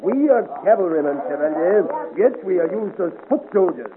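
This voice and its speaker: High-voiced, insolent cavalry trooper. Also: male voice, animated, moderate pace, a loud crowd. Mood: aggrieved. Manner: taunting, insolent, aggrieved. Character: tall, mocking, defiant cavalry trooper in 17th-century France. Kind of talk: 1950s radio adventure serial acting. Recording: noisy, narrow-band old radio recording.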